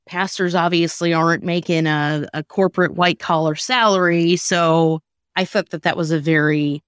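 A woman with a slightly low voice hosts in a casual, storytelling style. She talks candidly and colloquially, leaning on her key words. The sound is close and dry. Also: steady tone, moderate pace, clean tone, no echo. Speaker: podcast host introducing comedy sketches.

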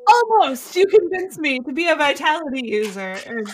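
A woman co-hosting a podcast, making slow, wry jokes in casual chat. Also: high voice, big pitch swings, a little hiss or hum, rough recording. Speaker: podcast co-host.

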